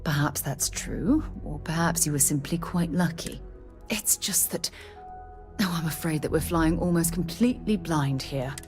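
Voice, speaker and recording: A woman's voice: low-pitched, faint music behind, lightly acted. A woman playing a precise, curious scientist. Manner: measured, precise, formal. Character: formal, curious female scientist, precise and fascinated. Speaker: scientist companion. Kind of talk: video game voice acting.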